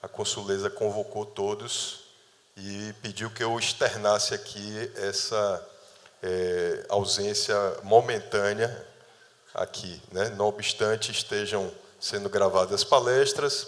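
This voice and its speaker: Man, low voice, formal effusive moderator, reverberant hall. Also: steady tone, slow pace, fairly bright tone. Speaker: event moderator. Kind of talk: formal ceremonial speech.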